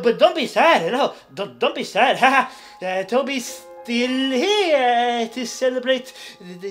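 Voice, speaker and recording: A man's voice: very high-pitched, a bit bright, heavily acted. A man playing an aging circus clown in a dramatic monologue, nervous and eager, with a heavy stammer and frequent nervous giggling. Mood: wistful, nervous. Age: older.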